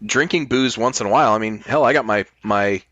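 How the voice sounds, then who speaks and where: A man's voice: mid-range voice, a little fast, a bit bright, no room sound, highs cut off. A man chatting casually as a podcast guest, reflective, posing rhetorical questions and swearing freely. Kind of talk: casual chat.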